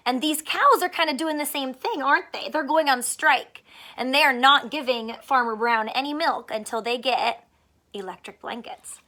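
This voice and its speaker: High, bright-voiced woman teacher reading aloud. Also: moderate pace. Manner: expressive, simple child-directed questions and explanations. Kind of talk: picture-book read-aloud with explanations.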